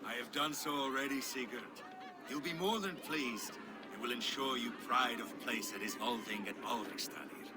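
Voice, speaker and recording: A man acts out a composed Viking noble serving as envoy in a video game. He speaks formally at an average male pitch, with very loud ambient noise around him. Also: normal speed, lightly acted. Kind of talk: dramatic game cutscene acting.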